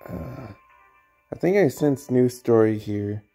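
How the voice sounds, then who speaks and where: Creaky-voiced, offhand game streamer. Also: medium pitch, lively intonation, takes it slowly, mellow. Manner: offhand, muttering to self. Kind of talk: casual gaming commentary. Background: faint game music.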